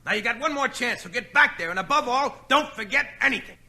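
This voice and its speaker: Hectoring manager, fast and very sharp, exasperated, old-time radio comedy. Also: male voice, very high-pitched, animated, full character voice. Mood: scolding. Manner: rapid-fire, forceful hectoring. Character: exasperated, scolding male talent manager bawling out a client. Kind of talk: 1940s radio comedy acting.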